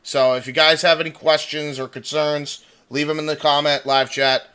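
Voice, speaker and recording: A man's voice: a little high, flat delivery, normal speed, pushed and bright, smooth. A man co-hosting a livestream in casual, sweary, jokey banter. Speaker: livestream co-host.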